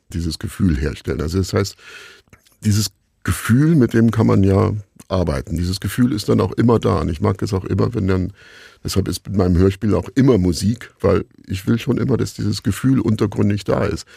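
Low gravelly man, reflective interview talk. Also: fairly quick, mellow, no room sound, studio quality. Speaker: interviewee, radio play maker.

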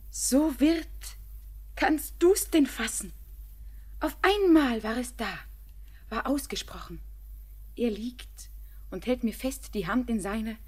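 A young bride, tender and anxious, spilling her thoughts in a breathless half-whisper. Her voice sits a little high and slightly husky. She speaks slowly. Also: a little mellow, exaggerated voice. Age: young adult. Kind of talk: classic radio play acting.

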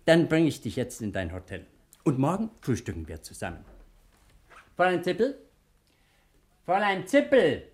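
An actor playing a benevolent older senior judge in a radio drama, formal, gallant and decisive. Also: male voice, fairly high pitch, wildly swooping pitch, moderate pace, pauses often, darkish tone. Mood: commanding, polite. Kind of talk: German radio comedy drama acting.

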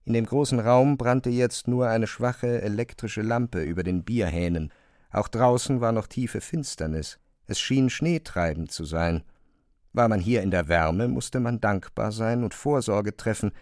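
A man's voice: mid-range voice, darkish tone, no room sound, studio quality. A man reads scripted literary narration for a radio drama.

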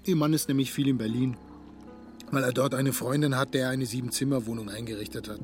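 A self-satisfied young ladies' man played by a male actor in an audio drama, speaking at an ordinary male pitch over music. He is chatty and vain, pausing for little hums as he shows off. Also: fairly even intonation, a little mellow, close to an everyday voice. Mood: casual bragging. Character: smug, self-absorbed young womanizing sportsman bragging of conquests. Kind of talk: German audio drama dialogue.

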